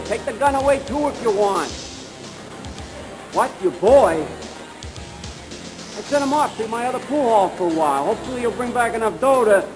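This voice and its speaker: An actor playing a slick, oily crime boss in a video game cutscene, falsely genial and wheedling. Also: male voice, very high-pitched, low-quality recording. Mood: indignant, wheedling.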